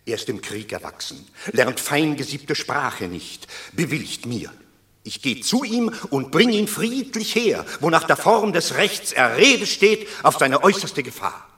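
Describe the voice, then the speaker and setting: A man's voice: a little high, very animated, a little slow, bright, forceful tone, full character voice. An actor playing an earnest, conciliatory Roman statesman, reasoning in oratorical classic radio drama style. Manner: earnest oratorical stage diction.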